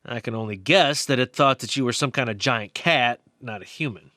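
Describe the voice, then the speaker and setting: A man's voice: medium pitch, normal speed, pushed and bright, no room sound, studio quality, lightly acted. A man playing an insistent, knowing research biologist in an audio drama, explaining things emphatically. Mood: knowing, cynical.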